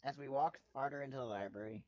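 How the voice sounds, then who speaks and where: A man reading fan fiction aloud as a dramatic first-person narrator, with a wry, expressive delivery. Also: medium pitch, fast, no echo, lo-fi sound.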